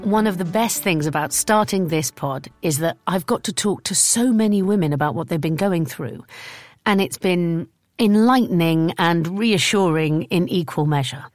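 A woman hosting a podcast, chatting warmly with guests on a video call and laughing easily. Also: fairly low pitch, normal speed, faint music behind. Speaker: podcast host. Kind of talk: podcast intro and group chat over video call.

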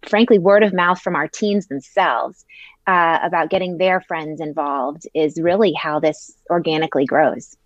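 A woman in her thirties, a nonprofit leader, answering interview questions in an articulate, measured way. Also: low voice, dry recording, highs cut off. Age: 30s.

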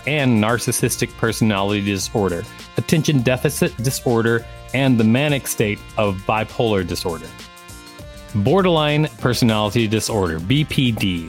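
Mid-pitched man reading over soft guitar music. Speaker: podcast co-host reading an encyclopedia article. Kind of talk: scripted narration read aloud, with brief casual commentary.

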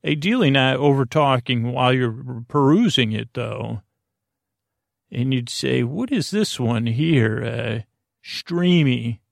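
A man with a creaky voice hosting a bedtime podcast, talking in a meandering, halting, digressive monologue. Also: mid-range voice, lively intonation, dry recording, studio quality. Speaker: podcast host.

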